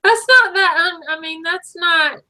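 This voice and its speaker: Host: woman, high and bright voice, encouraging, laughs with the guest. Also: a little slow. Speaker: interview host. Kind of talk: live interview.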